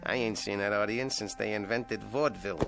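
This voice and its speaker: A man's voice: lowish voice, fairly quick, gravelly. A ventriloquist's wooden dummy with a sour temper. He is sardonic, slangy and bossy, tossing out wisecracks as a radio play character, with music underneath. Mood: grumbling. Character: surly, wisecracking, domineering male ventriloquist's dummy. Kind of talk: radio drama acting.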